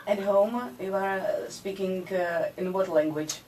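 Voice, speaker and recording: A woman's voice: medium pitch, measured pace, a little mellow. A woman interviewing a guest in English, hesitating with many fillers. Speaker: radio interviewer. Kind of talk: radio interview. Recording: distant mic with faint background noise.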